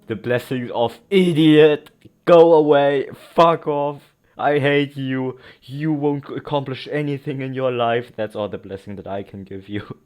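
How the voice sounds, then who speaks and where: A man's voice: mid-range voice, unhurried, a little mellow, clear, resonant tone, faint music behind. A man giving casual commentary as a let's play streamer, in a sarcastic, mocking and giggly way, with plenty of swearing.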